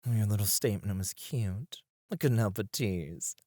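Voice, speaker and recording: A man playing a suave, flirtatious club escort in an intimate ASMR roleplay, teasing and breathy, close to the mic. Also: on the low side, darkish tone, no room sound, close to an everyday voice. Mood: playful, coaxing. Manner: slow, teasing, seductive, often dropping to a breathy murmur. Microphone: close studio microphone, dry.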